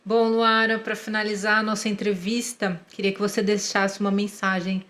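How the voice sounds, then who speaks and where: A woman's voice: mid-range voice, level tone, normal speed, fairly bright tone. A woman with a Brazilian accent, putting prepared questions to a guest as the host of a podcast.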